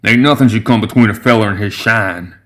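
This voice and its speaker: A man's voice: on the low side, brisk, a bit bright, close to an everyday voice. A man voicing a young male gremlin moonshiner, eager and deferential in a storybook reading. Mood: indignant.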